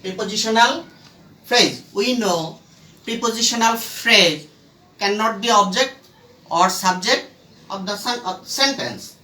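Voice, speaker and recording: A man teaching a grammar lesson in a halting, emphatic way, phrasing word by word. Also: high voice, animated, pushed and bright. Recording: echoey room, distant microphone.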